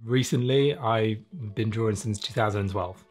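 A man's voice: on the low side, moderate pace, darkish tone, faint music behind. A man in his thirties from South Wales chatting casually and self-deprecatingly as an interview guest, with informal, sweary talk. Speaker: interviewed illustrator. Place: small room, home studio indoors.

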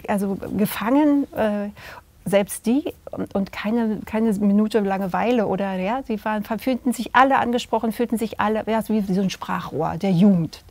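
A middle-aged woman, a theatre director and drama teacher, answering interview questions in an animated way, often pausing to search for words. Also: medium pitch, dry recording. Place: indoor room with curtains.

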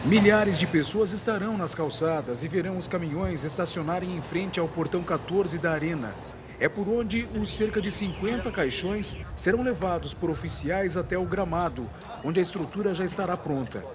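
Solemn reporter outdoors, high voice. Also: male voice, moderate pace, background noise. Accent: Brazilian Portuguese. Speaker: field reporter. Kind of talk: news report. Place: outdoors at an airport and a stadium. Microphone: handheld mic.

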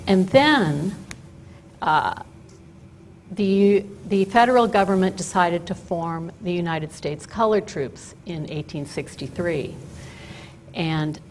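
An older woman giving a chatty, story-driven talk at a podium, telling it with fillers and asides in a loud voice. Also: lowish voice, a little hiss or hum, poor audio. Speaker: guest speaker. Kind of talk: lecture with slides. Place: auditorium stage at a podium. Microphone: podium mic.

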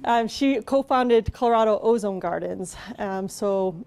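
A woman's voice: medium pitch, a little slow, a little mellow. A woman, a scientist, introduces the next speaker at a public talk in a chatty way full of fillers, using a handheld mic in a store event room. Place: event room at a store with a small seated audience.

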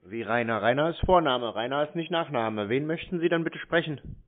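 A man's voice: medium pitch, expressive, normal speed. Blunt man on a tinny phone line. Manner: dismissive, blunt, nonchalant. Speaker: host taking scam calls. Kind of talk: casual phone conversation.

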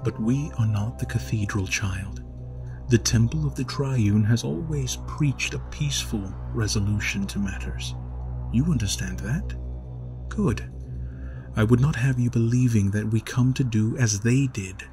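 A man voicing a kindly yet sinister high priest in a storybook reading, speaking softly and in a measured, coaxing way with a low, smooth voice. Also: over music, close to an everyday voice. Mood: persuasive. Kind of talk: storybook narration.

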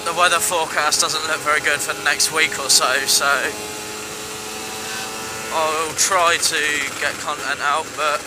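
A young man from England chatting casually to viewers from a helmet camera while riding a dirt bike, relaxed and rambling. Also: a little high, measured pace. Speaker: motovlogger. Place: riding a dirt bike on wet country roads. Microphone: helmet-mounted camera mic. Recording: helmet cam with engine and wind noise. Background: engine and wind noise.

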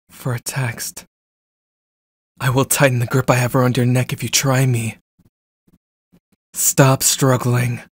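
A cold, possessive stalker, acted by a man in an ASMR roleplay. He speaks slowly and deliberately in clipped threats. The voice is slightly husky, mid-pitched and recorded close and dry. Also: no room sound. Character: jealous, controlling, menacing young male stalker.

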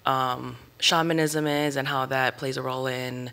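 A woman's voice: rumbling low, steady tone, moderate pace, gravelly, sharp tone. A woman in her thirties speaking on a stage panel into a table microphone, rambling with many ums and restarts. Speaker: panelist artist. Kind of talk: panel talk. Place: stage at an indoor arts venue.

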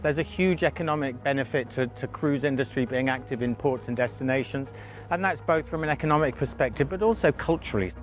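A middle-aged man speaking in a measured, promotional way as an industry spokesman. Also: mid-range voice, faint music behind. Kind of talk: interview.

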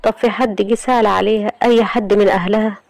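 A woman's voice: medium pitch, fairly even intonation, fast, a little mellow, no room sound, pitched above the performer's own voice, lightly acted. A woman with an Egyptian accent voicing a troubled young man who speaks hesitantly and stammers.

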